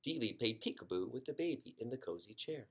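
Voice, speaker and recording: A man narrating a children's book expressively as a story reader, with dramatic pauses and drawn-out emphasis. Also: mid-range voice, expressive, fairly bright tone, breathy edge.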